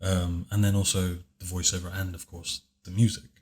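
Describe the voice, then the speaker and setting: A man with a very deep, low voice, chatting casually in a commentary on his own video, speaking softly and hesitantly with many fillers. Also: little pitch movement, moderate pace. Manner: soft-spoken, hesitant, with many fillers and restarts. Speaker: video creator giving commentary.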